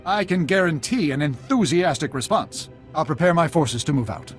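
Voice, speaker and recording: A man's voice: fairly high pitch, lively intonation, moderate pace, close to an everyday voice. An actor playing a bold, eager male army colonel in a game cutscene. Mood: confident. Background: game music.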